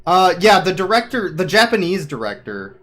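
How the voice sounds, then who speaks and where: A man's voice: sits high, sing-song, normal speed, a bit bright. A man giving casual, explanatory live commentary as he plays through a game, with a wry streak. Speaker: speedrunner. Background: game music.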